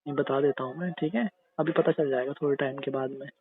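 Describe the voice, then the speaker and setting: A man's voice: mid-range voice, steady tone, quick pace, natural voice. Man playing a teasing young husband, phone-like sound. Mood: mock sulky. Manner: teasing, coaxing, repetitive insisting. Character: teasing, wheedling young husband, playfully sulky. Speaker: husband in a couple's call. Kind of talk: intimate casual couple banter. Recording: tinny narrow phone-call sound.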